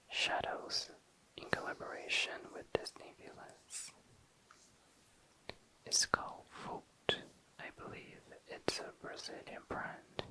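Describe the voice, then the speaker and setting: ASMR presenter: breathy whisper throughout, very sharp and bright, soft lingering show-and-tell delivery. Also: rough recording. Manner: slow, lingering, repeats words softly. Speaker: ASMR video creator.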